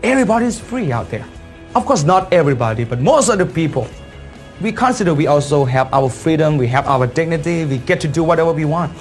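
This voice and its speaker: A man's voice: on the high side, expressive, fast. A man from Thailand with a Thai accent speaks as a business leader giving an emphatic, repetitive motivational presentation full of rhetorical questions. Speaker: network marketing leader and speaker. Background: background music.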